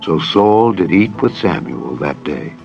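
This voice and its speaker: A man reading dramatized Bible narration in a solemn, measured storytelling manner. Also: lowish voice, animated, darkish tone. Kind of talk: dramatized scripted scripture narration. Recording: dull lo-fi audio over music. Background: music.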